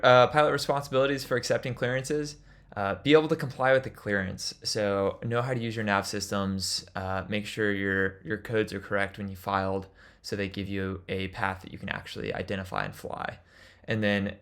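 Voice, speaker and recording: Low-voiced man teaching an informal lesson. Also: a bit bright. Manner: informal, explanatory. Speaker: flight instructor. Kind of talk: instructional slide lecture.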